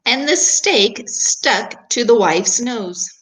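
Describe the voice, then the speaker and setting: A woman's voice: fairly high pitch, animated, slow, fairly bright tone, poor audio. A woman teacher reading scripted narration of a children's storybook tale.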